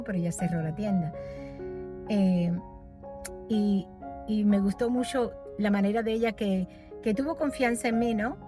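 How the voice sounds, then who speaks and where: A talkative woman films a casual walking vlog on a street corner at night, hopping from one topic to the next. Clear background music plays under her voice, and her pitch sits in the middle range. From raised in Los Angeles. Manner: chatty, rambling, changes topic often, laughs at herself. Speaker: vlogger.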